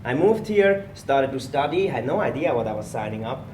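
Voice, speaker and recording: A man talks easily about his past, his English a little in phrasing. The mic sits some way off in an echoey gym, with steady noise behind him. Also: mid-range voice, normal speed, distant mic. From Switzerland. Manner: relaxed storytelling, slightly non-native phrasing. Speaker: guest, personal trainer. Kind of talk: casual podcast interview.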